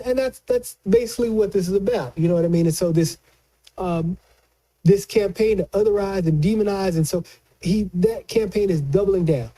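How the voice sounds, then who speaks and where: Man with a very mellow, higher-pitched voice. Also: low-quality recording. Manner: emphatic, repeats phrases for stress. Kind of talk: casual political talk.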